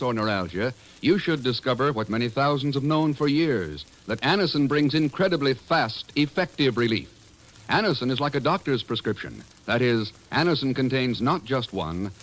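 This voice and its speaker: A man's voice: medium pitch, a bit bright, low-quality recording. A man reading scripted copy as a polished, emphatic announcer in 1950s radio style.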